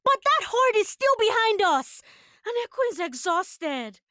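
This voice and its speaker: A woman voicing a brave, caring young girl heroine in an animated adventure, earnest and urgent. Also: extremely high pitch, measured pace, dry recording, studio quality, full character voice. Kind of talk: animated series table read.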